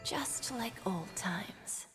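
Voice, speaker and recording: Senior businesswoman, game character, smooth, measured, shrewd, insinuating, music clearly behind. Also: mid-range voice, lively intonation. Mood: coaxing, amused. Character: poised, shrewd senior businesswoman, silky, calmly calculating mentor. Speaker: game voice actor. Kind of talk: video game voice acting.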